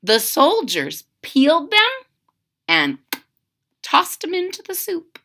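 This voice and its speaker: Animated woman reading a storybook aloud. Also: high voice, takes it slowly. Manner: gentle, explanatory, animated storytelling. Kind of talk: children's read-aloud with commentary.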